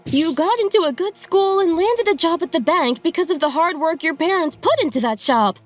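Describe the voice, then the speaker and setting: Outspoken young-family mom for manga dub, female actor: high voice, very expressive, scolding edge. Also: moderate pace, soft background music. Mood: indignant. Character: outspoken, indignant wife and mother defending her in-laws. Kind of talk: dubbed manga drama acting with narration.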